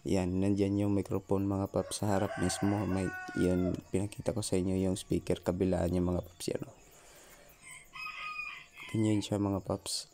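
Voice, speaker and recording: A man's voice: low voice, little pitch movement, normal speed, background noise. A man with a Filipino accent narrating a casual how-to vlog, talking informally to viewers as his buddies. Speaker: vlogger.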